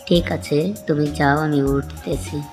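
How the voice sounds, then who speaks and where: A woman's voice: sits low, flat delivery, unhurried, over music. A woman voicing a dreamy, obedient grandson in a cartoon, speaking earnestly and respectfully. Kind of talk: cartoon voice acting.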